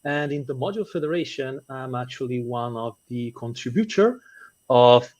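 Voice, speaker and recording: A man in his twenties from Italy introduces himself briskly as a guest engineer. His voice sits at a mid pitch. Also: animated, a little mellow. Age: 20s.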